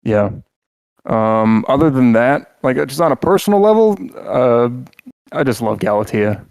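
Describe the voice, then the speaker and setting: A man's voice: mid-range voice, lively intonation, a little slow, darkish tone, clear, resonant tone, no room sound. A man co-hosting a podcast in casual chat, rambling and joking with lots of filler words and restarts. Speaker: podcast co-host.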